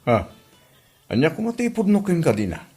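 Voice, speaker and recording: A defiant husband, played by a man, speaks with very lively intonation and an Ilocano accent. He sounds fed up and cold toward his wife in a comedy drama delivery. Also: fairly high pitch, fairly quick, music in the background.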